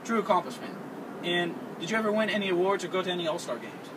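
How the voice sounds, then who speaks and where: A man's voice: high-pitched, lively intonation, fast talker. A teenage boy playing a gushing male TV sports reporter who heaps over-the-top praise on his guest. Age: teens. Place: outdoor park shelter. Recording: distant camera, outdoor noise.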